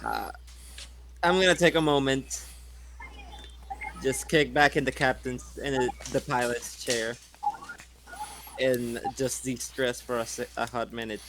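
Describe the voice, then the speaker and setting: A man talks casually as a player in a tabletop game. His pitch is middling, with faint noise behind him. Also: steady tone, unhurried, long pauses, a bit bright, low-quality recording.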